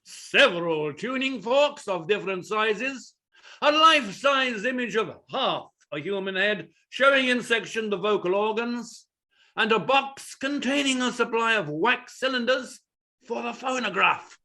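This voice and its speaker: A man acts as an exacting, pompous playwright narrating a stage play. His voice is high and sharp. He declaims each direction deliberately and theatrically. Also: expressive, exaggerated voice. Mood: measured, precise.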